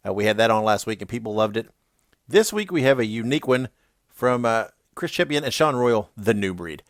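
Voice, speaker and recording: A man's voice: medium pitch, animated, a little fast, dry recording, studio quality. A man hosting a wrestling podcast, chatty and laughing, sounding stuffy and congested. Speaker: podcast host. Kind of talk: casual podcast outro.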